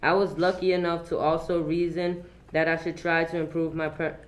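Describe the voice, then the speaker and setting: A man's voice: on the high side, little pitch movement, normal speed, rough recording. A young teenage boy, a middle school student, reading a text aloud in a dramatic presentation. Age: young teen, middle school student. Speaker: student reader.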